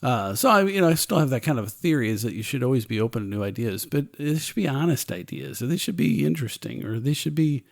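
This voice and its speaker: Sardonic man with a gravelly, animated voice. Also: mid-range voice, fast, few pauses, dry recording, clean studio sound. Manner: sardonic, dismissive. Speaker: podcast co-host. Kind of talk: casual opinionated book discussion.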